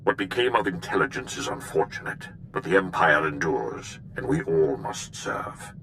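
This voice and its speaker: Very deep, imperious dark lord. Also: male voice, sing-song, moderate pace, vocal fry, a little hiss or hum, full character voice. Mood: grave, formal. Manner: grave, formal, imperious. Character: stern, commanding male dark lord of a galactic empire. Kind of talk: dramatic video game acting.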